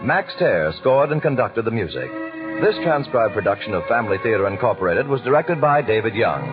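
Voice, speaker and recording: A man's voice: on the low side, sing-song, a little fast. An American man delivering formal, scripted announcements in 1940s network radio style. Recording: muffled 1940s radio recording, music behind. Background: clear music.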